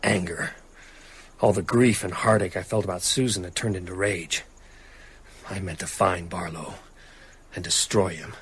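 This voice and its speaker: This is a haunted man set on vengeance in a radio horror drama. His voice is lowish, grim and intense, with faint noise behind it. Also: steady tone, normal speed, pauses often. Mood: grim resolve. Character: haunted, grieving, determined man out for revenge. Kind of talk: radio drama acting and narration.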